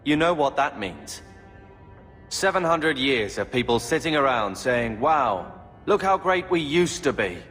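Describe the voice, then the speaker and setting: A man's voice: medium pitch, animated, unhurried, a bit bright. A man playing a bitter, sarcastic young man, an aggrieved younger brother in a video game. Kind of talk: dramatic video game acting. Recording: long reverb with background music.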